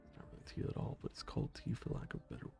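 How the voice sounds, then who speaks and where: A man streaming a game and chatting with viewers in a low-key, joking way, sometimes dropping to a whisper, close to the mic. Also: racing, music in the background. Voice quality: gravelly. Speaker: game streamer reading chat. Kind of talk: casual gaming stream chat.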